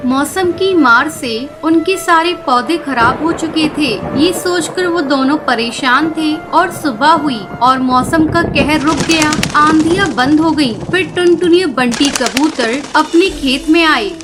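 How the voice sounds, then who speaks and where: High-pitched woman narrating a children's story. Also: unhurried, few pauses, background noise. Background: background music.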